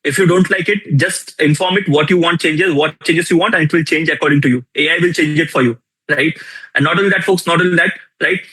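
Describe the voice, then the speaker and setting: A young man with an Indian accent teaches a live workshop with a lot of energy. He repeats his key lines and keeps asking the audience questions. His voice sits slightly high and has a husky edge. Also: brisk, sharp tone, rough recording. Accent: Indian English. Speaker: workshop instructor. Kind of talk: live online tool demonstration.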